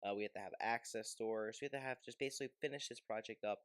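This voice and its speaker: A man with a fairly low voice hosts a gaming video. He talks casually and loosely, rambling and hesitating as he explains things. The recording is dry, with very little room sound. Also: fast, no room sound. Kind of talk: casual game video commentary.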